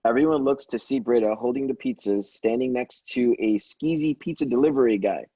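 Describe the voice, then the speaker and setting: A man's voice: mid-range voice, fairly quick, dark tone, husky, no echo. A man reading stage directions as narrator in an amateur table read, stumbling now and then and correcting himself. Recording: dull, dry lo-fi microphone.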